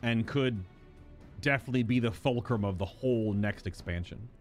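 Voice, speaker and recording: Casting: male game streamer, mid-pitched, chatty and speculative, casual live reactions with music underneath. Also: normal speed. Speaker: streamer reacting to and reading a game's story quests aloud. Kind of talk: casual live-stream commentary mixed with reading dialogue aloud.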